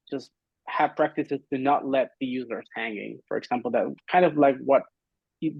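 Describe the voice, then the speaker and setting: A man's voice: mid-range voice, no echo. A middle-aged man speaks informally on a video call. He feels his way through an update, hedging and rephrasing as he goes. The sound is thin and phone-like, with very little room in it. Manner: halting, hedging, loosely phrased. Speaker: grant-funded working group administrator. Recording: muffled video-call audio.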